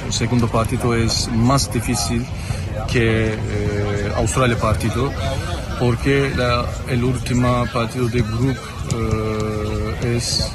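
A man speaks slowly in a second language, searching for words and filling the gaps with long hesitations. His voice sits at an ordinary pitch. Loud music plays behind him throughout. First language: not Spanish. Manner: hesitant, many pauses and fillers, broken grammar. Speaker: interviewed football guest. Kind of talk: halting interview answers in a second language.

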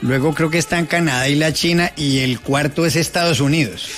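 A man's voice: fairly high pitch, a little fast, fairly bright tone, soft background music. A man on a radio panel, explaining facts in a conversational way. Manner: explanatory, fact-giving. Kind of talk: casual radio chat.

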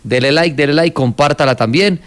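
A man's voice: on the high side, moderate pace. A man with a Colombian accent hosting a live stream, talking in a loose, joking, slangy way. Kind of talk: casual live stream chat.

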